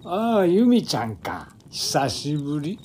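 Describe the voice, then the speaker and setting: A man's voice: fairly high pitch, very animated, slow, darkish tone, a little hiss or hum. An actor playing a blunt, folksy old man who patrols a forest, speaking rustic Japanese old-man dialect in a radio drama. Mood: easygoing. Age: older. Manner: blunt, folksy, elderly turns of phrase.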